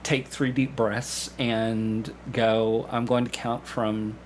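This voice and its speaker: A man's voice: mid-range voice, flat delivery, slow pace. A middle-aged man gives a casual interview. He answers in an anecdotal, conversational way, often relaying what people said. There is some background noise on the recording. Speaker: podcast guest, amateur stage actor.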